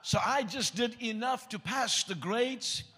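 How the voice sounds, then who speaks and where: A man's voice: very high voice, measured pace, bright, forceful tone, breathy edge. A man from South Africa whose first language is Afrikaans, preaching a sermon with joking asides and reading scripture aloud. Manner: joking, self-deprecating asides.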